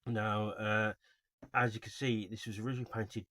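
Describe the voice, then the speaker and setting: A man giving informal, unscripted video commentary as a host, in a halting, rambling way with frequent self-corrections. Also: lowish voice, little pitch movement, bright, forceful tone. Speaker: host of a video series on album cover art.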